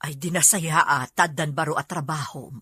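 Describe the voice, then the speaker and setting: A nagging mother played by a woman in an audio drama. She sounds husky, sharp and demanding, recorded very dry. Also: sits low, normal speed, no room sound, heavily acted. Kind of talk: amateur Filipino audio drama acting.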